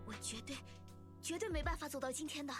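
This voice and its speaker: A woman's voice: high-pitched, fast, soft background music, lightly acted. A woman voice-acting a playful, earnest young female star singer in a video game. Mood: earnest, admiring.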